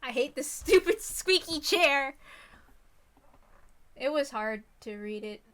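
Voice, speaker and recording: A woman with a voice a little higher than most reads through a visual novel. She mixes casual commentary with straightforward narration of the text. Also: lively intonation, slow, a bit bright. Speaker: reader of a visual novel playthrough.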